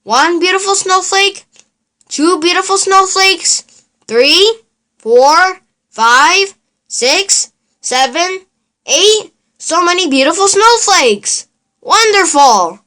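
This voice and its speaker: A woman's voice: very high voice, expressive, slow, sharp tone, voice pushed up, exaggerated voice. A woman voicing a delighted male count in a children's storybook, counting with emphatic, exultant relish.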